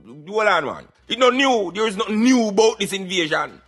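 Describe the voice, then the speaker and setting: A man's voice: high-pitched, animated, normal speed, fairly bright tone, faint music behind. A man playing an opinionated Jamaican radio commentator, lecturing emphatically in patois and repeating words for stress. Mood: indignant. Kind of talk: satirical social commentary in patois.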